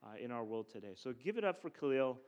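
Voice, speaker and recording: A man's voice: mid-range voice, very animated, quick pace, muffled recording, heavy background noise. A man hosting an event, introducing a guest speaker to the audience with frequent fillers.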